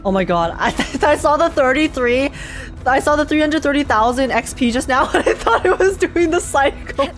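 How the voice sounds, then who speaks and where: A young woman streaming a game with casual, excitable, slangy live commentary. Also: high-pitched, normal speed. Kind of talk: casual live reaction commentary over gameplay. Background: faint game music.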